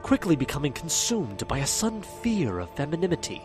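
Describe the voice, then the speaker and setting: A man's voice: fairly high pitch, very animated, a little fast, music in the background. A man narrating a fan audio drama in a theatrical storytelling manner. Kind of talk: scripted narration.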